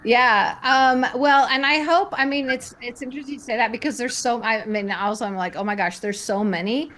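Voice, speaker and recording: A woman's voice: a little high, a little fast, fairly bright tone. Rambling woman panelist with background noise. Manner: rambling, informal. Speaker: panelist working with clients. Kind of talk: informal panel talk.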